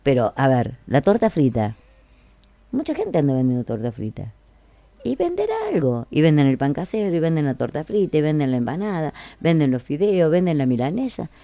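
A woman's voice: low-pitched, big pitch swings, a little fast, dark tone, no room sound. A woman with an Argentine accent hosting a casual radio chat, chatty and colloquial, repeating phrases for emphasis. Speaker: radio host.

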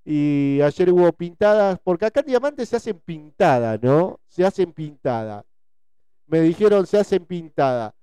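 Rioplatense guy in his 40s, bit high pitched but soft and mellow, chatty host repeating himself, super clean voice. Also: smooth, no echo. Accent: Rioplatense Spanish. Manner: repetitive, conversational, often seeking agreement with tag questions. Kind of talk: casual live chat, reading listener messages.

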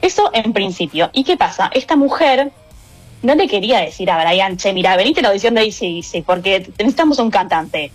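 Rioplatense woman, radio column, chatty, laughs a lot, normal pitch, some music low in the back. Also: fairly quick, husky. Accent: Rioplatense Spanish. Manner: lively, animated storyteller who laughs often. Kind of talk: casual radio chat, storytelling.

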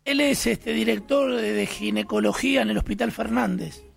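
A man voicing a comic, drawling, deadpan character with an Argentine accent and a creaky, high voice. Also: moderate pace, faint music behind. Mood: comic boasting.

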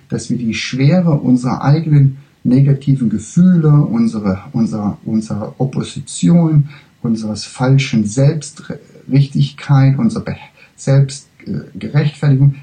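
A man giving a reflective spiritual lecture, halting and searching for words, with frequent restarts, in a language he says he does not speak fully fluently. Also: medium pitch, warm tone, rough recording.

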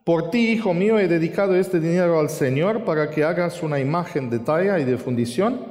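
A man's voice: fairly high pitch, expressive, fairly quick, a little mellow, roomy sound, in a large hall, voice pushed up, natural voice. A man with an Argentine accent reading the words of a mother blessing her son aloud during a sermon, his voice raised. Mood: solemn. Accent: Argentine Spanish.

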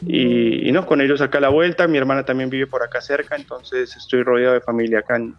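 A man's voice: medium pitch, steady tone, fast, muffled recording. A man in his thirties from Colombia, a guest calling in to a radio show, chatting casually with many fillers and restarts. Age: 30s. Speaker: phone-in guest.

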